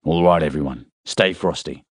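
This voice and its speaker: A man voicing a steady military leader in an audiobook, speaking in a clipped, commanding way. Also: a bass voice, moderate pace, slightly rough, no echo, studio quality. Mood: brisk command. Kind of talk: audiobook character dialogue.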